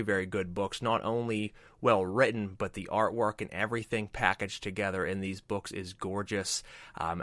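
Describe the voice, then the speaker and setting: A man hosts a talk show, welcoming his audience and guest with eager energy and frequent fillers. His voice sits on the low side. The recording is dry, with no room sound. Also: fairly bright tone, no echo. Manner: enthusiastic, promotional, frequent "um" and "uh". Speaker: host.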